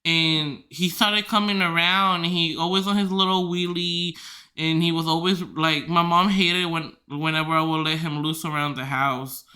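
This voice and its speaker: A man hosting a podcast who tells rambling stories loudly, swearing freely and filling his talk with "like". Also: fairly high pitch, fairly even intonation, normal speed. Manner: loud, swears freely, filler-heavy rambling. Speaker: podcast host. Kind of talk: casual storytelling chat.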